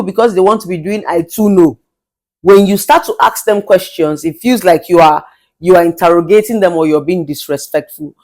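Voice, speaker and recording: A middle-aged Nigerian woman, a relationship therapist, giving emphatic advice with an authoritative, commanding voice and bits of Pidgin. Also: mid-range voice. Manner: forceful, emphatic, mixes in Pidgin phrases. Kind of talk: motivational advice monologue.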